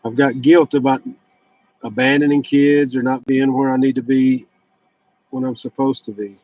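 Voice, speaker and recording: A man's voice: mid-range voice, flat delivery, normal speed, darkish tone, no echo, muffled recording. Candid man in his fifties, dry close sound. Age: 50s. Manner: candid, rambling, blunt with some swearing. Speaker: vlogger sharing fasting and fitness journey. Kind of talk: casual personal confessional vlog.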